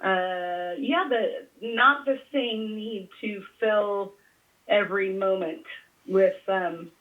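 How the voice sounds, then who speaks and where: A woman speaks through a thin, narrow phone connection. She talks casually as a patient, in a halting way, often leaving thoughts hanging as she searches for words. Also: mid-range voice. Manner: hesitant, halting, trailing off between thoughts. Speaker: patient on a call. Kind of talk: casual personal conversation. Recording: thin, band-limited phone call.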